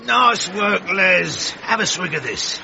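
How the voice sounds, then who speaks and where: Cheeky working-class english bloke, high sharp voice, slangy, muffled radio drama. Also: male voice, animated, slow pace, gravelly, some chatter behind, heavily acted. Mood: blunt, knowing. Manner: blunt, slangy. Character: blunt, cheeky working-class English boxing team man. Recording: muffled band-limited sound, faint noise.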